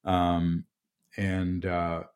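A man with a deep voice, a game designer talking casually in an interview, relaxed and full of fillers. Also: drawn-out words, clear, resonant tone. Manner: relaxed, chatty, many fillers and restarts.

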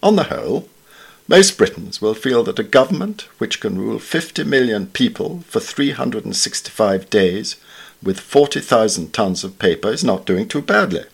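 A man reading literary essays from a script in a formal, measured manner. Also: on the low side, expressive, creaky, breathy edge. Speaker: reader of newspaper essays.

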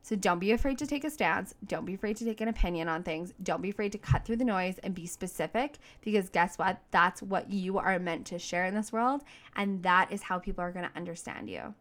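A woman's voice: medium pitch, fairly quick, fairly bright tone. A woman giving a motivational solo podcast talk as a coach, speaking in an emphatic, informal, slangy and outgoing way. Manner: outgoing, self-described big voice.

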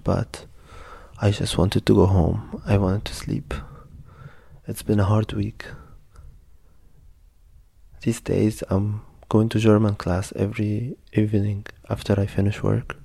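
A young man from Egypt narrating a personal story in a quiet, reflective, plain way. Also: on the low side, vocal fry, husky.

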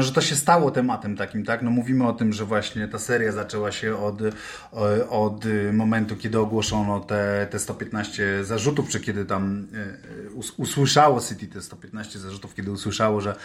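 A man on a football podcast panel, thinking aloud in a halting, casual way with many repeated words. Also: lowish voice, fairly bright tone.

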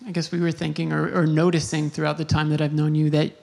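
A casual interviewer speaks with noticeable vocal fry at a middling pitch. The delivery is informal and conversational, like someone talking with an acquaintance. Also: flat delivery, fast, a little mellow.

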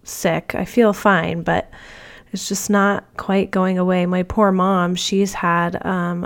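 A woman's voice: lowish voice, vocal fry. A woman in her thirties or forties hosting her own podcast, talking in a chatty, upbeat way with fillers, her voice nasal from a cold. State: stuffy nose from a cold. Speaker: podcast host. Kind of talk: casual solo podcast monologue.

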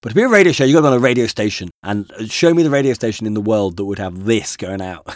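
A man co-hosting a podcast, hoarse from a cold, chatting in a dry, wry, self-mocking way. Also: on the low side, lively intonation, very fast, no echo. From United Kingdom. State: hoarse from a cold, voice deeper than usual. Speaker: podcast co-host. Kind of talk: casual chat.

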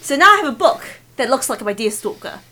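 A woman with a New Zealand accent co-hosting a podcast, playful and teasing with deadpan jokes. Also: high voice, fast. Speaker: podcast co-host. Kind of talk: casual podcast banter.

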